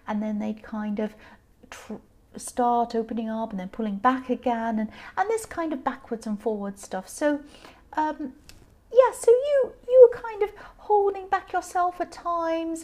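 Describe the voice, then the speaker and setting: A slightly tired woman with a faintly croaky voice gives an informal tarot reading, explaining hesitantly and circling back to repeat her phrases. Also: high-pitched, warm tone. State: a bit tired. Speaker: tarot reader, channel host.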